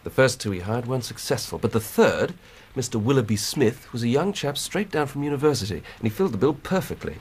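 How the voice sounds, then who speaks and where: An English actor playing an earnest, plain-spoken police inspector in a radio drama, reporting methodically and emphatically. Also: male voice, mid-range voice, very animated, fairly quick, hardly any pauses, slightly rough. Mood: earnest, matter-of-fact. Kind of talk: 1990s British radio drama acting.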